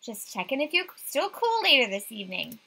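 A woman's voice: high voice, sing-song, brisk, vocal fry, bright, forceful tone, slightly rough, voice pushed up, full character voice. A woman voicing a casual, teasing young man in a visual novel.

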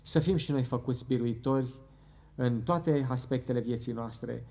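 Middle-aged guy praying out loud at church, earnest, a bit halting, pulpit mic. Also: mid-range voice, creaky. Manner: earnest, fervent, halting at times. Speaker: preacher leading prayer. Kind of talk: closing church prayer. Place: church hall with wood paneling. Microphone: pulpit microphone.

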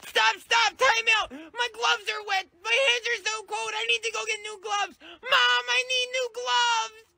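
A man voicing an excitable, whiny little boy who shouts and tattles to his mom. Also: extremely high pitch, unhurried, gravelly, a little hiss or hum, voice pushed up, heavily acted. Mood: shouty excited.

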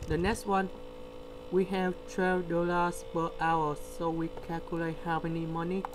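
Brief: male tutor, slow deliberate walkthrough, mains hum under the voice. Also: fairly high pitch. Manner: slow, step-by-step explaining with pauses. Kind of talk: screen-recorded math lesson. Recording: steady electrical hum behind.

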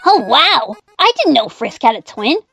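A woman's voice: high-pitched, sing-song, moderate pace, a bit bright, breathy edge, no room sound, faint music behind, heavily acted. A woman voicing an earnest, easily exasperated young child in a comedic comic dub, hesitant and pleading. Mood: innocent, eager.

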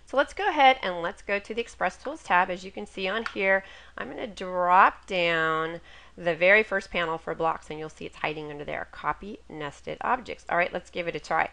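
A middle-aged woman, a little raspy from a cold, hosts a chatty, upbeat software tutorial over a screen recording with a webcam inset. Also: on the low side. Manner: chatty and upbeat, laughs at her own jokes. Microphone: webcam inset over screen capture.

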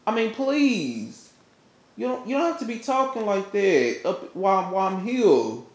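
A woman voicing a pleading, apologetic boyfriend in a relationship melodrama, halting and placating but firm. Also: medium pitch, big pitch swings, pitched below the performer's own voice. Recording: echoey, roomy sound.

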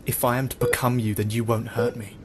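A man's voice: medium pitch, level tone, fairly quick, very noisy, close to an everyday voice. An actor in an audio drama playing a frightened but defiant captive man, earnest and distressed.

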